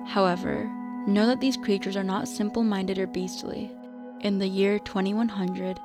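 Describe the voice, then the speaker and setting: Woman narrating a radio drama over music. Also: medium pitch.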